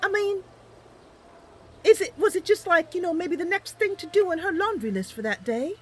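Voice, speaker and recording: A woman's voice: extremely high pitch, animated, normal speed, a bit bright, slight background noise. A woman voicing a perky, inquisitive young wood elf adventurer in a game roleplay, chatty and wide-eyed. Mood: curious.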